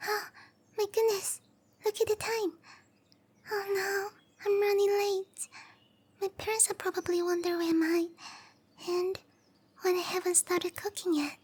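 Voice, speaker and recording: Casting: petite young cowgirl mage for fantasy roleplay ASMR; very high-pitched, unhurried, hesitant, bashful, eager to prove herself. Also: flat delivery. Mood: flustered. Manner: bashful, hesitant, breathy murmurs between bright chatter. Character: tiny young cowgirl monster girl, shy eager support mage. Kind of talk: roleplay ASMR, anime-style character acting.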